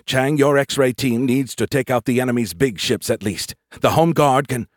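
A man's voice: medium pitch, moderate pace, no room sound, studio quality, pitched above the performer's own voice, close to an everyday voice. A man voicing an irate, bitter male fleet commander giving orders in an audiobook. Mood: bitter, commanding. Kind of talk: audiobook character voice.

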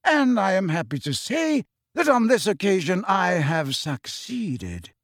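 A man voicing a calm, courteous old male wizard headmaster who speaks pleasantly but firmly. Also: high-pitched, measured pace, no room sound, clean studio sound, voice pushed up, heavily acted.

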